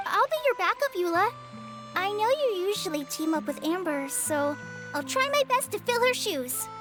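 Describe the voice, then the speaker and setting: A woman's voice: extremely high pitch, expressive, normal speed, music in the background. A woman voicing a friendly, easygoing young forest ranger in a video game, casual and considerate. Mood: eager. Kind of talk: video game character dialogue.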